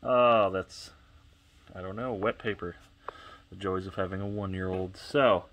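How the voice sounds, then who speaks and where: A man's voice: fairly low pitch, fairly bright tone, slight background noise. A congested, sniffling man giving a casual, rambling walkthrough of his shelves at home, talking offhand and correcting himself, recorded on a camera on a tripod. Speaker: collector vlogger.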